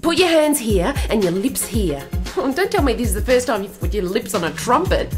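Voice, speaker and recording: High-pitched, brash, flirty Australian woman. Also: sing-song, fairly quick, music in the background. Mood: bossy, teasing. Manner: teasing, earthy, commanding. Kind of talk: radio drama acting.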